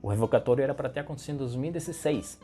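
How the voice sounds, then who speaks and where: A man's voice: mid-range voice, very fast, warm tone, faint music behind. A young man from Venezuela with a Venezuelan Spanish accent, a native Spanish speaker, giving emphatic political commentary straight to the viewer.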